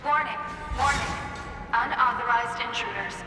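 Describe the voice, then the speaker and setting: A woman voicing a cold, impersonal security machine in a video game, giving clipped announcements with a loudspeaker-like effect. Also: a little high, normal speed. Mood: cold alarm. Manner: flat, clipped, repetitive announcing. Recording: distant, heavily echoing, music behind.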